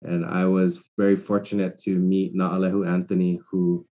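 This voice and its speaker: A man, speaking as a guest in a measured, reflective way over a remote call. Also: low voice, monotone, lo-fi sound. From Hawaii. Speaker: guest entrepreneur. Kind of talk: casual conversation.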